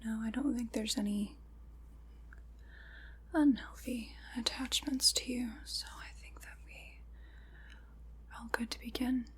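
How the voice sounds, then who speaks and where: Woman playing a slow, whispering ritual witch. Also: a little high, close to an everyday voice. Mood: hushed, guiding. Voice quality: soft breathy whisper. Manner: slow, soothing, deliberate guided-ritual delivery. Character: gentle, solemn witch leading a cleansing ritual. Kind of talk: whispered ASMR roleplay.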